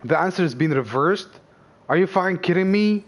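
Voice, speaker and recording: A man commentating his own play, thinking aloud, excitable and swearing often. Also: high voice, a little fast, noisy background. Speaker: game streamer. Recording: loud game music behind.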